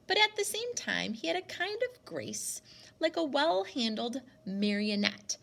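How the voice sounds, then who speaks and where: A woman reading a storybook aloud as a teacher, in an expressive narrating style. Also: medium pitch, creaky.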